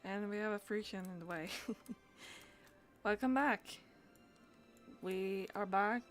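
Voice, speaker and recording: Slow-talking woman chatting on a livestream. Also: medium pitch, faint music behind. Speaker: live streamer. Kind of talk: casual livestream chat.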